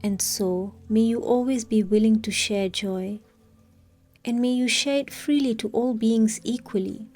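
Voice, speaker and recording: A woman leading a scripted guided meditation in a calm, soothing, unhurried manner with long pauses. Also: fairly high pitch, faint music behind.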